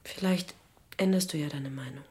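An actress in a German radio play voicing an earnest young daughter, gently insistent as she leaves home. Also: deep voice, normal speed, natural voice.

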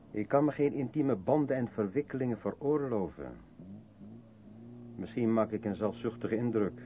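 Low, brooding, withdrawn man, tinny radio. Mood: guarded, withdrawn. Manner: brooding, hesitant, self-questioning. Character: withdrawn, self-doubting mixed-race South African man, guarded intellectual. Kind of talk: 1970s Dutch radio play acting. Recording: tinny band-limited old radio recording, faint hiss.

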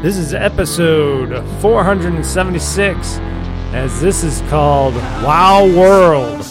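A man's voice: on the high side, animated, slow, over music. A man hosting his own podcast alone, talking in a loose, rambling way and often correcting himself. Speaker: podcast host. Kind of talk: casual solo podcast talk. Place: home studio.

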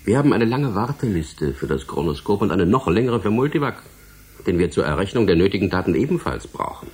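A man's voice: low voice, sing-song, brisk, a little mellow, slightly rough, full character voice. A man playing a courteous, evasive middle-aged department head in a radio drama, explaining things in a polite, officious way. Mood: regretful, dismissive.

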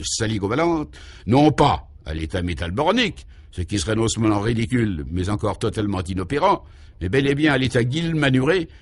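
A man voicing a pompous male scientist who explains his absurd invention in a declamatory, gravely technical way for a radio comedy. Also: mid-range voice, close to an everyday voice.

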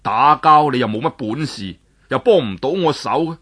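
A tired, gruff warrior, as a storyteller voices him, speaking low and sighing. The voice is husky and rough-edged, plain-spoken and soft-hearted underneath. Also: male voice, animated, moderate pace. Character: weary tough warrior man, gruff but soft-hearted.

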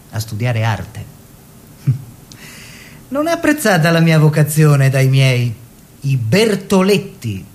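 An actor playing an eager young bourgeois painter in a theatrical monologue, expressive and savouring short phrases. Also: male voice, on the high side. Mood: proud, confiding.

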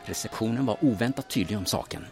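A man's voice: lowish voice, music in the background, lightly acted. A man acting a self-assured, defiant courier in an audio drama, answering with dry retorts.

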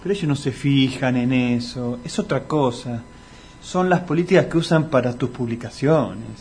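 An actor playing an exasperated, sarcastic but sensible friend in a comic radio drama, with an Argentine Rioplatense accent and a dry, chiding manner. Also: male voice, mid-range voice, moderate pace, darkish tone, a little hiss or hum, close to an everyday voice. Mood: exasperated, calming.

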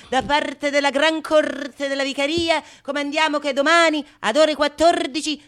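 A woman's voice: sits high, sharp tone, pitched above the performer's own voice, heavily acted. A woman playing a pompous male captain of the watch in a theatrical Renaissance comedy, officious and commanding. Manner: officious, commanding, drawn-out calls.

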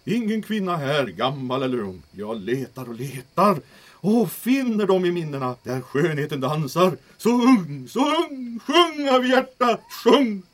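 Guy doing a swoony over-the-top troubadour prisoner, high voice that swings all over, half singing his sighs. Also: big pitch swings. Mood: mournful, theatrical. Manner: theatrical, sighing and half-singing. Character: eccentric lovesick male troubadour prisoner, dramatic. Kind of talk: audio drama acting.

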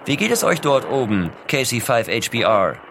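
Man acting an excited, higher-voiced radio amateur. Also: normal speed, slight background noise. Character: excited male amateur radio caller from Seattle, chatty fan. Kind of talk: audio drama radio dialogue.

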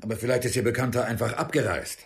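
A man's voice: medium pitch, fairly even intonation, fast, close to an everyday voice. A man playing an unmoved, procedural police inspector in a radio crime drama, businesslike and dismissive.

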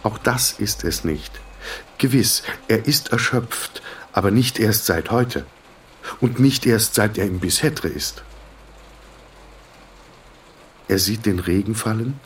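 An actor narrating a literary drama as the weary, introspective inner voice of a middle-aged patient, husky and terse. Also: male voice, on the low side, measured pace, a little hiss or hum, natural voice. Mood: brooding.

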